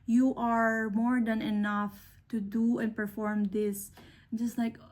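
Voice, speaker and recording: Middle-aged woman from the Philippines, English not her first language, slightly high, unhurried delivery, earnest mentor giving advice. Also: little pitch movement, darkish tone. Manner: earnest, unhurried, with fillers and restarts. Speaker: speaker. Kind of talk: motivational talk to young engineers.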